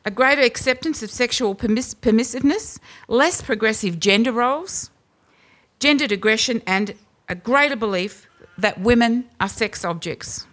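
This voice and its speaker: Booming, emphatic Australian woman at a rally. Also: normal speed. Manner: emphatic, loud and booming, with rhetorical questions. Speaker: advocate speaking at a rally. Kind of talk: impassioned public speech. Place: indoor venue with brick wall. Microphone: stand microphone at a lectern.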